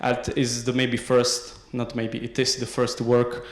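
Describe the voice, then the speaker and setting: A young man with a Slovak accent giving an academic conference talk, hesitant, with many filled pauses and restarts. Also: medium pitch, completely flat delivery. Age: young adult. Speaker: conference presenter. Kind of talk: academic lecture. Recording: echoey room.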